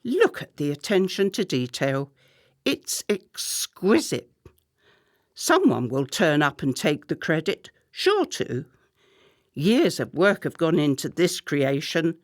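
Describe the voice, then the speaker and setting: A bossy, gushing well-to-do wife in a radio play. She speaks slowly and theatrically, her pitch sweeping up and down, in a close, dry recording. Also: big pitch swings, no echo, exaggerated voice. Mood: delighted, effusive. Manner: theatrical, commanding, effusive. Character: imperious, gushing well-to-do wife and party hostess.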